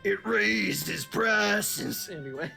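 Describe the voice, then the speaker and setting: Casting: male streamer, lively intonation, loose chatty banter over faint background music. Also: sits high, slow pace, creaky. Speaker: streamer and amateur voice actor. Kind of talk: casual banter during fandub stream.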